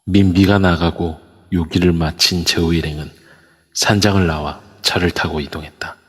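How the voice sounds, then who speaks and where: A man narrates a spoken drama in a steady, storytelling way. His voice is very deep and husky. It carries long reverb through a phone voice chat. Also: normal speed. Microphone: phone in an online voice room.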